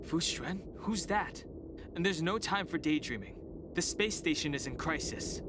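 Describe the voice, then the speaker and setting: A man's voice: on the high side, lively intonation, normal speed, close to an everyday voice. A man voicing a serious, urgent young warrior companion in a video game, terse and businesslike. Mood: urgent, stern. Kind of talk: acted video game dialogue. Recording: clear background noise.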